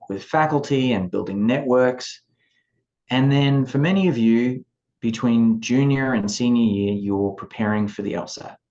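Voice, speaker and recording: A man in his forties with an Australian accent, giving a measured, explanatory talk to students as an admissions advisor over a video call. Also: lowish voice, a little mellow. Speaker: law school admissions advisor. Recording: dull lo-fi video call audio.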